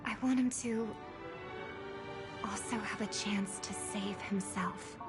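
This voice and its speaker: An actress playing an earnest, resolute young woman, a devoted sister, in gentle, measured game dialogue. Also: mid-range voice, steady tone, lightly acted. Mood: hopeful, earnest. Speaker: game character voice actor. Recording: music behind the voice.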